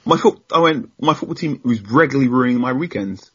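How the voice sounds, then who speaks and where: A man's voice: medium pitch, animated, fairly quick, a bit bright, slightly rough, lo-fi sound. A young man, a journalist guest telling stories animatedly on a podcast, laughing often and acting out quotes. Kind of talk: casual podcast conversation.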